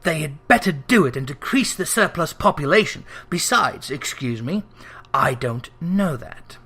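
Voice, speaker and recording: Cold old miser for a storybook, acted by a narrator, curt and dismissive, gruff delivery with wide pitch swings. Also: expressive, unhurried, bright, forceful tone, full character voice. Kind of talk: dramatic storybook character reading.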